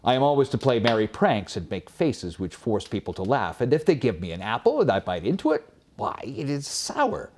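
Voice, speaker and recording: A man voicing a melancholy monkey in a fable, a sad clown speaking in a rueful, hushed, formal way. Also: medium pitch, sing-song, moderate pace, hardly any pauses, slight background noise, lightly acted. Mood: rueful, gloomy.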